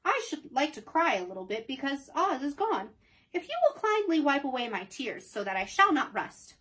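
A woman's voice: high-pitched, big pitch swings, normal speed, sharp tone, exaggerated voice. A woman doing a tender-hearted tin man.

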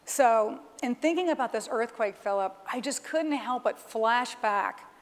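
High-voiced woman presenting in a hall. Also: animated, unhurried. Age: middle-aged. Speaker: corporate executive presenting a keynote demonstration. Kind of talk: live stage presentation, explanatory commentary. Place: large conference stage, indoors. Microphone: clip-on lapel microphone. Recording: reverberant hall sound through PA.

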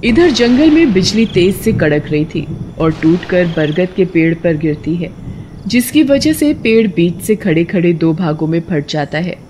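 A woman's voice: on the low side, a little mellow, slight background noise. A woman reading scripted narration as the storyteller of a children's tale.

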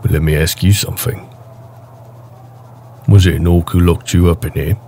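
A man playing a big, gruff, protective orc raider with a wry, casual manner in a fantasy roleplay audio drama. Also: sits low, steady tone, moderate pace, mellow, a little hiss or hum, close to an everyday voice. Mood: wry, reassuring. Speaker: voice actor.

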